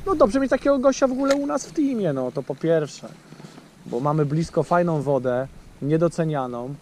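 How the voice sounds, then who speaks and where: A man's voice: fairly high pitch, expressive, normal speed, a little mellow, slight background noise. Chatty man on a fishing boat. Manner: chatty, addresses viewers directly, many fillers. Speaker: fishing channel host. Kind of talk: casual outdoor fishing vlog chat. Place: small boat on a lake outdoors. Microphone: action camera on the boat.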